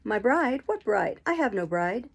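A woman voicing a brooding middle-aged gentleman, the master of the house, speaking low and earnestly in an audiobook of a classic novel. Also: very animated, unhurried, vocal fry, breathy edge, exaggerated voice. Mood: firm.

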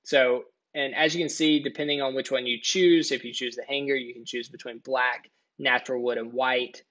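A man's voice: mid-range voice, fairly even intonation, moderate pace, pushed and bright. A man giving a casual, step-by-step screen-recording tutorial voice-over as the presenter of a product walkthrough.

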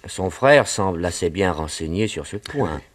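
A man playing a polite, cautious reverend in a French radio play, speaking gently and piously. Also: low voice, expressive, moderate pace. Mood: courteous. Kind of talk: old French radio drama acting.

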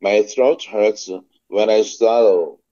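Brief: lower-voiced Korean-accented man, careful repetition, dull muffled phone line. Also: mellow. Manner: slow, careful repetition with small slips. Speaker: phone English practice partner. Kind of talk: repeating phrasebook sentences aloud. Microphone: phone call.

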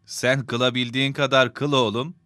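A crisp, bright voice of a middle-aged father played by a man in a children's cartoon, recorded dry. He is kind, patient and devout, guiding his child. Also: medium pitch, little pitch movement, normal speed, no room sound, natural voice. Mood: encouraging.

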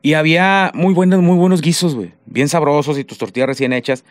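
A man's voice: a little high, steady tone, a little fast, dry recording. A man, telling crude, joking stories as a radio podcast co-host. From northern Mexico. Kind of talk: casual comedic chat. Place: radio studio. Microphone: headset with studio mic.

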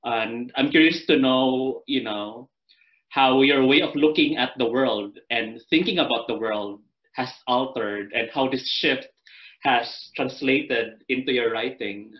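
A man hosting a poetry reading panel on a video call, asking questions gently with many pauses and fillers. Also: medium pitch. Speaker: panel host and anthology editor. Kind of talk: moderating a reading. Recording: muffled video call audio.

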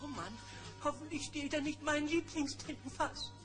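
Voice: high voice, normal speed, over music, lightly acted. A performer playing a fussy, fretful old male bear shrunk tiny in a German children's audio play, hesitant and worried.

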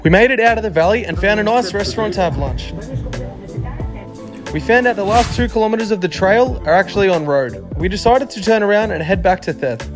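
A man narrates his travel video in a voice-over, talking quickly with a slightly high voice. His tone is upbeat and wry. Music plays clearly behind him. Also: lively intonation. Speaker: travel vlogger.

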